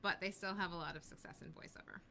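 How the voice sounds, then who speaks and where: A woman's voice: on the low side, fairly even intonation, fast, sharp tone, slight background noise. A woman hosting a casual casting-advice chat, talking easily and laughing often. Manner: chatty, laughs often, plays out little skits. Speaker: casting team host.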